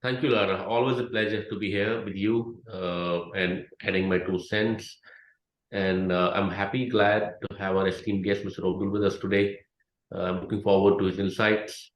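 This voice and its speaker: Male co-host, low register, casual chat delivery, roomy echo. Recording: echoey room.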